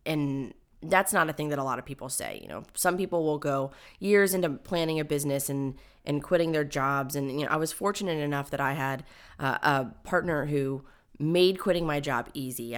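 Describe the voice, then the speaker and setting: A woman with a low, gravelly voice talks straight to her listeners in a solo podcast. She is emphatic and a bit high-strung, and she pokes fun at herself as she goes. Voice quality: low, raspy voice. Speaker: podcast host.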